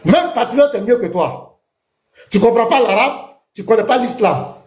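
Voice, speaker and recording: A man's voice: extremely high pitch, animated, normal speed, darkish tone. A man, a pastor in a heated live debate, taunting his opponent with combative, repeated jabs. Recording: echoey room.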